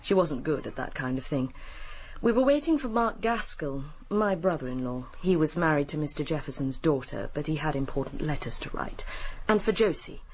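An actress playing a composed, genteel, guarded British widow in a radio drama. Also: female voice, low-pitched, expressive, moderate pace, a little mellow, slight background noise, rough recording. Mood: guarded, measured.

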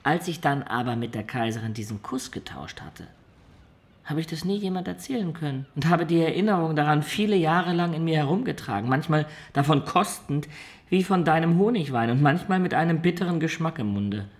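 A man's voice: on the high side, animated, a little fast. An actor playing a wistful adventurer in a radio drama, recounting his life in a reflective, confiding way. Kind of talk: radio drama acting and storytelling.

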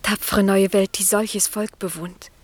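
A woman's voice: medium pitch, steady tone, no echo, lightly acted. A woman playing an innocent, wonderstruck young maiden, speaking verse rapturously in a classic radio play. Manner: slow, enraptured.

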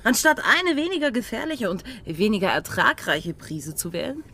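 A woman's voice: medium pitch, very animated, normal speed, a bit bright. An actress playing a bold, headstrong young woman pirate in a German radio drama, speaking with urgency. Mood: worried, challenging.

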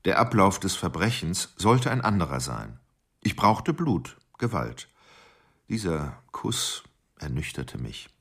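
A brooding male killer in a radio drama monologue. The voice is very deep and slow, with a heavy creak. He is intense and halting, often sinking toward a whisper over faint music. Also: very animated, a bit bright, heavily acted. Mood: eerie, hushed. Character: obsessive, brooding male killer confessing his crimes.

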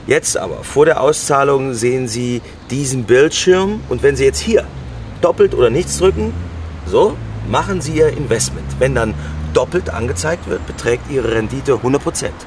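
A man's voice: medium pitch, normal speed, noisy background, lightly acted. An actor playing an earnest, self-justifying bank spokesman explaining a product in a radio play interview. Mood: explanatory.

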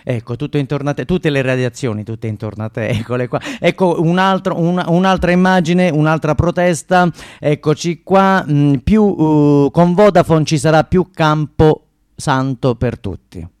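A man's voice: a little high, normal speed, darkish tone, clear, resonant tone, dry recording, clean studio sound. A man giving a satirical, theatrical presentation in a sardonic, declamatory way. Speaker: stage performer presenting a mock investigation.

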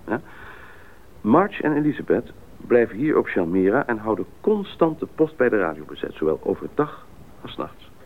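An actor playing a decisive expedition pilot in a Dutch radio drama, speaking in a deliberate, businesslike way. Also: male voice, on the low side, very animated. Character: decisive male expedition pilot leading the planning. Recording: muffled narrowband radio play recording.

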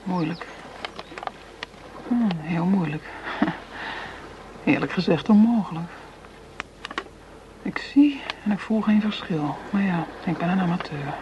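A woman's voice: on the low side, animated, slow pace, long pauses, dull audio. Commanding female gang boss, noisy recording. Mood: businesslike. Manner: condescending, commanding. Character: cool, domineering female boss of a counterfeiting gang. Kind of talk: radio play acting. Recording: noisy radio play recording.

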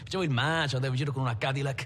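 An actor playing a swaggering gangster in a film, reminiscing colloquially with stammered repetitions. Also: male voice, mid-range voice, fairly even intonation, rapid-fire, fairly bright tone, close to an everyday voice. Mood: boastful. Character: swaggering Italian gangster recalling his small-time bravado. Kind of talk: dramatic monologue, conversational reminiscing. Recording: reverberant hall, background noise.

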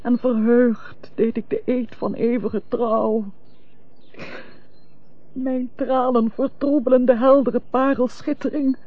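A dull, lo-fi radio melodrama recording carries a penitent, tender wife and mother. Her voice is husky and fairly high, and she pleads slowly and solemnly in formal, old-fashioned language. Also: flat delivery, no room sound. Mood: wistful, grieving. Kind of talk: theatrical radio drama acting.

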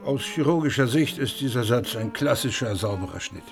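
An actor in a radio play voicing a dead, disgraced old surgeon, self-assured and biting, in clipped declamatory phrases. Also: male voice, medium pitch, lively intonation, moderate pace, gravelly, music in the background.

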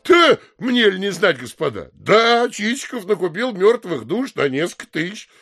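An actor playing a boastful, excitable card-sharp landowner in an audio drama, with husky, exclamatory bragging. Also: male voice, high voice, moderate pace, faint music behind, full character voice. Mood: boastful, excited.

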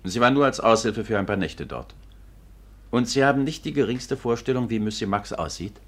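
An actor in a 1950s German radio play playing a polite, formal, measured police official. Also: male voice, medium pitch, expressive, fairly bright tone. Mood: regretful, businesslike.

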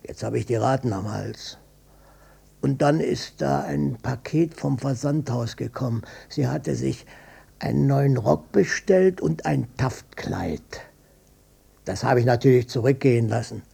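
An older man playing a stubborn, defensive widowed father in a radio drama, blunt and colloquial, with a gravelly, husky voice. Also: medium pitch, unhurried, mellow, full character voice. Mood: matter-of-fact. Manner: blunt, colloquial, swinging between offhand and touchy. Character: gruff widowed old father, stubborn, defensive, matter-of-fact.

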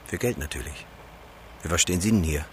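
Guy doing gruff cynical treasure hunter, low voice, brusque, radio drama, slight background noise. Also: fairly quick, close to an everyday voice. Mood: curt, probing. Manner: brusque, dismissive.

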